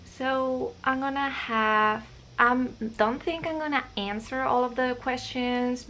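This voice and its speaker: A woman's voice: medium pitch, fairly bright tone, slight background noise. A young woman from Spain with a Spanish accent, chatting shyly and hesitantly to camera, with many fillers. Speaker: vlogger. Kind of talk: casual chat to camera.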